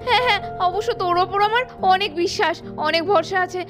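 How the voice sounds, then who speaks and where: An emotional young doll woman acted by a woman with an extremely high voice and a quick, emphatic delivery. It is melodramatic cartoon acting with music playing behind. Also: fairly bright tone. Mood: worried. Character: excitable young women of a doll family, anxious and emotional.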